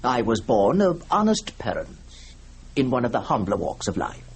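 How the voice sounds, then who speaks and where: A man's voice: medium pitch, very animated, normal speed, husky. An actor playing a polite, matter-of-fact man who tells a macabre life story in a formal, theatrical radio reading. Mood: primly matter-of-fact.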